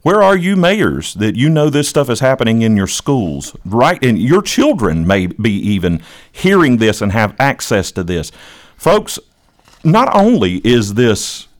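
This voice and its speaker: A man's voice: medium pitch, big pitch swings, unhurried. A man hosting an impassioned political talk, speaking in a preachy, emphatic way and repeating phrases for effect. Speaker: podcast host and longtime educator.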